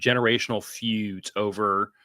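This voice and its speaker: Gravelly-voiced man hosting. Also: fairly low pitch, steady tone, normal speed, sharp tone, no echo. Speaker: podcast host. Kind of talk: casual podcast chat.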